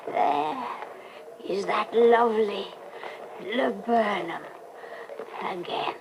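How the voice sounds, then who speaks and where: An elderly Irish wife in a radio play, mournful and given to rambling. She speaks very slowly, lingering over phrases and pausing as she broods. The old cassette recording adds a faint layer of noise. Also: on the high side, expressive, vocal fry, heavily acted. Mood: mournful, wistful. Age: older. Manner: slow, halting, drawn-out phrasing with long pauses.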